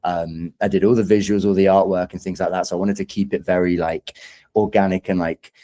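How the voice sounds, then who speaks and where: Young London male musician, low and dark-toned, informal, chatty interview delivery. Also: level tone, a little fast. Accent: London English. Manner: enthusiastic, rambling, informal. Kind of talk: casual interview chat.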